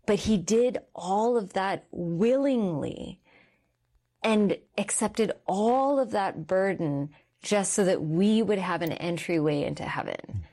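A woman's voice: mid-range voice, expressive, dark tone. A woman in her thirties from Canada, a guest sharing her personal faith story in an earnest, reflective way. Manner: earnest and reflective, slowing down on key words.

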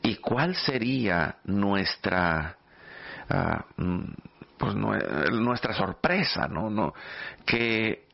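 A middle-aged man hosting a religious program, speaking reflectively and telling personal anecdotes in a halting way, often repeating words as he searches for the next. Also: fairly low pitch, animated, vocal fry. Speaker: host of a religious program, evangelizer. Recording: slightly dull, lo-fi audio.

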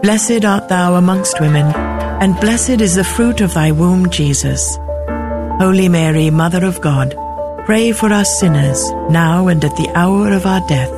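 A speaker slowly leading a prayer aloud in a solemn way. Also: mid-range voice, music in the background. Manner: slow and solemn.

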